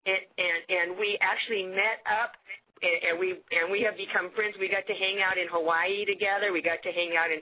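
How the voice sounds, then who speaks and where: An American woman hosting a business conference call, giving an upbeat sales pitch and often repeating words as she goes. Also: fairly even intonation, a little fast, pushed and bright, poor audio. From United States.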